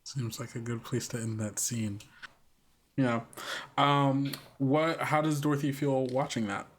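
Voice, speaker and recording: A man improvising scene narration for a tabletop roleplaying game, halting and deliberate as he searches for words. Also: medium pitch, steady tone, slight background noise. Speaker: game master and player on an actual-play podcast.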